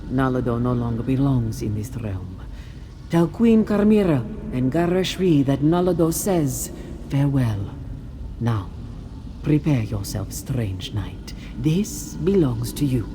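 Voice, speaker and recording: Slow, solemn cat-folk soldier spirit. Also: female voice, a bass voice, background noise, heavily acted. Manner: grave, measured, speaks of self in third person.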